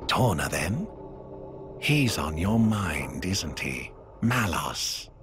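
Deep-voiced scheming game villain. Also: male voice, very animated, extremely slow, full character voice. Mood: smug, calculating. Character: scheming, smug blue-skinned villain, dismissive and calculating. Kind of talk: video game cutscene acting. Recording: loud music behind. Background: loud music.

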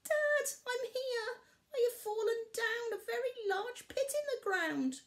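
A woman's voice: very high-pitched, expressive, unhurried, voice pushed up, exaggerated voice. A woman doing a frightened little bear cub. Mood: crying. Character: young male bear cub, sulky then frightened.

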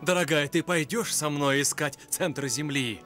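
Lion explorer, cartoon hero, highish clear-cut bright voice, courteous, resolute. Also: male voice, unhurried, faint music behind. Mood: tender. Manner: courteous, determined. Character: resolute, gentlemanly lion explorer, devoted husband. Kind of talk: dubbed cartoon acting.

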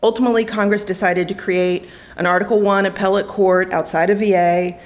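A woman's voice: medium pitch, steady tone, brisk. A middle-aged woman judge giving a prepared keynote at a lectern microphone in a conference hall, with dry, self-deprecating humor.

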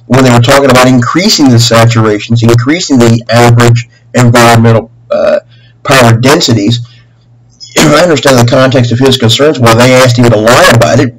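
A middle-aged man making a rambling, aggrieved and earnest complaint as a caller, halting and repeating himself in long winding sentences. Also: mid-range voice. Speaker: caller to a government office. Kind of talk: spontaneous phone complaint, rambling monologue. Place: small room at home, indoors. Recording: humming noisy home webcam recording.

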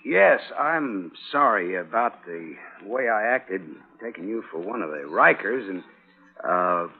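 An American man with a gravelly, husky voice acting a stubborn, plain-spoken homesteader father in a 1950s radio western drama. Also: fairly low pitch, expressive, a little slow. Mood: friendly, hesitant. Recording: dull old radio broadcast.

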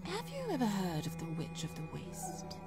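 An actress playing a grand, menacing witch in a radio drama, imperious and disdainful, with breathy drawn-out delivery. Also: mid-range voice, huge pitch swings. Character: haughty, menacing grand witch, seemingly young woman. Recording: loud music under the voice.

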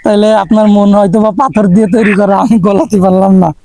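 High-voiced young Bangladeshi man, creaky. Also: little pitch movement, a little fast, dark tone, faint music behind.

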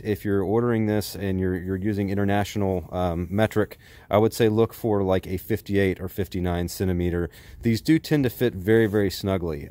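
A man's voice: lowish voice, darkish tone. A man in his forties with an American accent gives a casual, conversational gear review outdoors in a backyard.

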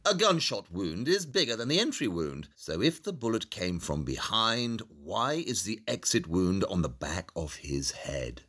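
Arrogant british detective in an audio drama, super precise, voice swings up and down a lot. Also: male voice, deep voice, very animated, unhurried, hardly any pauses, fairly bright tone, breathy edge, heavily acted. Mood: analytical. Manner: precise, pompous, clipped deduction. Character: brilliant, aloof, arrogant male London detective. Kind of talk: audio play acting.

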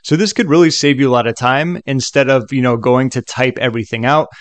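Guy doing a casual screen tutorial, chatty, mid pitched, dry close sound. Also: fairly quick, dry recording. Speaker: software tutorial host.

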